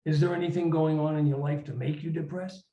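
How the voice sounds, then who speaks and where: A man's voice: fairly high pitch, fairly even intonation, brisk, warm tone, natural voice. A man voicing a reserved male psychiatrist who asks short, formal, noncommittal questions in a story reading. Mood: probing, neutral. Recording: roomy, slightly dull room recording.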